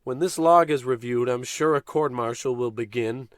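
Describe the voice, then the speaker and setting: A man's voice: mid-range voice, moderate pace, no room sound, close to an everyday voice. A man playing a pompous, callous American spaceship captain in a comic old-time radio play, bossy and grandiose with dry sarcastic asides. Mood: bitter, dismissive.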